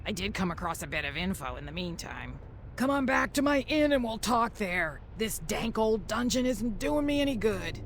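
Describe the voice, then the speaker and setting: A woman's voice: medium pitch, very animated, a little hiss or hum, lightly acted. A woman voicing a feisty old innkeeper woman. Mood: gruff. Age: older. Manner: salty, bleeped swearing, brisk.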